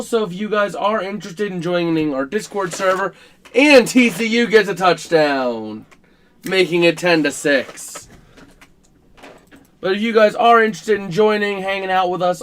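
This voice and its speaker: A man hosting a casual live stream about a college football game, his voice scratchy and rough, chatting to viewers with plenty of fillers. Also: sits high. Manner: chatty, filler-heavy, talks to chat while working the stream.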